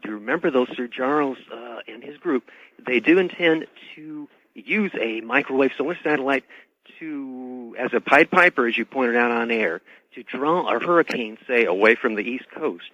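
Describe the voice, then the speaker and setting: A man's voice: medium pitch, normal speed, bright, forceful tone, dry recording. A man calling in to a radio show as a guest author, speaking earnestly and politely in formal, hesitant phrases. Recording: dull band-limited radio call audio.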